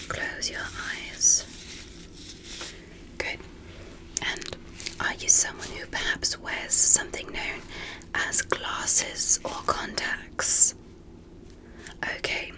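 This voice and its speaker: Slow whispering ASMR woman. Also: rough recording. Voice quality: soft, breathy whisper. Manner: gentle, slow, deliberate, with short measured phrases. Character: gentle, fascinated alien doctor examining a human. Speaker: ASMR performer. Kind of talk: ASMR medical roleplay as a curious alien examiner.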